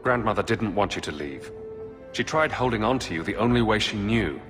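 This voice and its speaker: A man playing a young fantasy game hero, wry and steady. His voice is fairly low with lively inflection, set in long reverb. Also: fairly quick, lightly acted. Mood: gentle consoling. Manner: wry, matter-of-fact. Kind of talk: fantasy video game voice acting. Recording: long reverb, music behind. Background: music.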